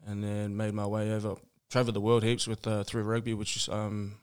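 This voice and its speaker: A man's voice: on the low side, completely flat delivery, fairly quick, a little mellow, clear, resonant tone. A man from Melbourne with an Australian accent, chatting casually as an interview guest in a laid-back, hesitant, colloquial way. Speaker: podcast guest, rugby player.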